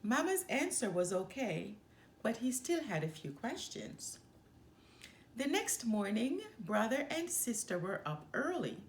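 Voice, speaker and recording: Female storyteller for a children's read-aloud, lively pitch movement, measured delivery, roomy sound. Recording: echoey room with faint background noise.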